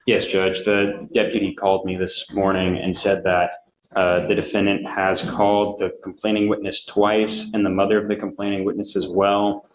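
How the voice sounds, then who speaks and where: In an echoey courtroom with faint noise, a male prosecutor gives a formal report. His voice is deep and flat, and he moves through the details in a halting, matter-of-fact way.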